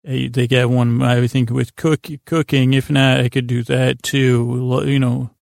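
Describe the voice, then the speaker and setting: A man hosting a bedtime podcast in a meandering, halting monologue, often interrupting himself and wandering off track while gently reassuring the listener. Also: medium pitch, little pitch movement, gravelly, no echo, clean studio sound. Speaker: podcast host.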